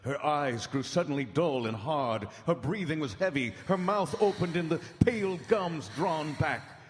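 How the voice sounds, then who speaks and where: An actor playing a grave, anguished doctor who reads his private journal in solemn, measured radio horror style. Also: a little high, animated, slight background noise. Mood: grave, horrified. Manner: solemn, dramatic, measured storytelling. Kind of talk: old-time radio horror drama acting. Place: large hall stage before live audience. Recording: echoey hall, live stage broadcast.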